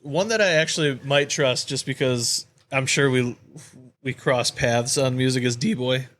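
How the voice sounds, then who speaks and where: A man's voice: medium pitch, measured pace, fairly bright tone. A man co-hosting a podcast in casual, conversational chat. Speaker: podcast co-host.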